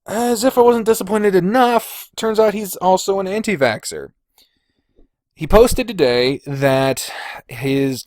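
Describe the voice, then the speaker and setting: A man's voice: mid-range voice, no room sound, clean studio sound. A man hosting a podcast, giving blunt, casual opinions with plenty of swearing and exasperated emphasis. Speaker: podcast host.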